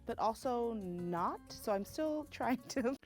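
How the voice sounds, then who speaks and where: Lively, hesitant young-sounding woman guessing. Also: fairly high pitch, slow, soft background music. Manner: hesitant, filler-heavy. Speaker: contestant guessing languages. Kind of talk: casual on-camera chat.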